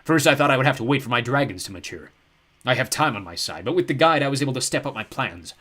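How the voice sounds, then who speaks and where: A man voicing a huge, cruel ancient ogre lord who talks slowly and boastfully. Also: animated, bright, forceful tone. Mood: gloating. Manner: booming, slow and gloating. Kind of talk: audiobook character voice.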